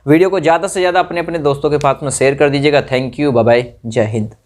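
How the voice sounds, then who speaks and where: A man's voice: on the high side, steady tone, fast. A man giving an informal explainer to students, repeating phrases for emphasis and checking in with short tag questions. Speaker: exam-news presenter. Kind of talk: informal explainer addressed to exam candidates.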